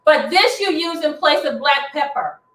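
High-voiced woman demonstrating cooking from afar. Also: animated, moderate pace, fairly bright tone. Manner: chatty and instructive, talks while cooking. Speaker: cooking show host. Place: home kitchen, indoors. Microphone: far camera across the kitchen. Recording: roomy kitchen from far off.

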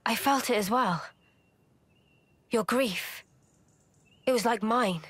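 A woman's voice: on the high side, slow pace, husky, no echo. An actress playing an earnest young female soldier in a video game. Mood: sorrowful.

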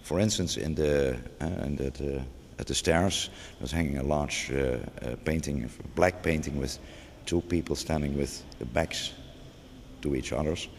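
A man's voice: extremely low pitch, measured pace. A middle-aged man, a museum curator talking off the cuff, hesitant with many restarts and fillers, recorded inside a large gallery. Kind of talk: unscripted gallery interview. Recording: long gallery reverb, faint background noise.

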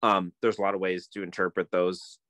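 A middle-aged man talks on a phone video call from home, his voice fairly low and the sound dry and close. He thinks aloud, meanders, and often stops to restart a sentence. The tone is relaxed and conversational. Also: a little fast, no echo. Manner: thoughtful, meandering, many fillers and restarts. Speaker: guest, permaculturist. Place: home room with bookshelves, indoors.

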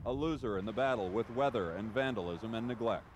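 A man's voice: on the low side, brisk, very noisy. A man narrating a scripted television news feature as a reporter.

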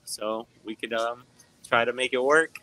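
A man's voice: medium pitch, steady tone, a little slow, bright, forceful tone, poor audio. A man who runs a supermarket, answering questions frankly and often adding "right?" and "you know". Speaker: supermarket owner. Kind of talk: casual interview answers. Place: supermarket, indoors.

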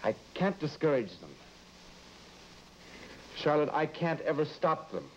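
An actor playing an anxious, secretive married lawyer in a vintage broadcast drama, speaking in a halting, pleading, evasive way. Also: male voice, a little high, very animated. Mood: strained, pleading. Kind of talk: vintage mid-century broadcast drama acting. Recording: dull, noisy vintage broadcast recording.

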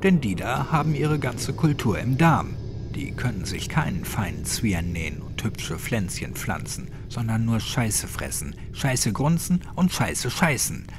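A man voicing a gruff, crude, sadistic old man with a clipped, mocking way of talking, in a horror audiobook. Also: mid-range voice, big pitch swings, a little slow, over loud music. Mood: threatening.

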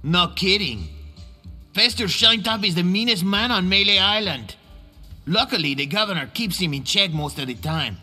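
A man's voice: high-pitched, animated, normal speed, bright, forceful tone, faint music behind, heavily acted. A man playing a wretched, pleading prisoner in a cartoonish video game, whiny and theatrical. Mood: grumbling, conspiratorial. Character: pleading, foul-breathed, wretched male jailbird.

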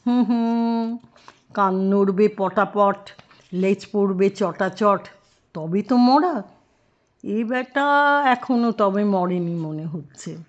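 A woman's voice: mid-range voice, dull audio, close to an everyday voice. Woman voicing a sly jackal trickster, theatrical singsong. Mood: mock mourning. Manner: theatrical, drawn-out singsong calls. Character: sly cunning male jackal pundit, mocking trickster. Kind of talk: children's storytelling.

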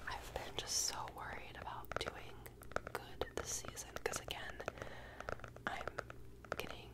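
A woman's voice: unhurried, poor audio. A young woman whispering a casual, rambling ASMR check-in with a stuffed-up nose, in a small bedroom on a close microphone. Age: young adult. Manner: rambling, casual, many fillers. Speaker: ASMR creator.

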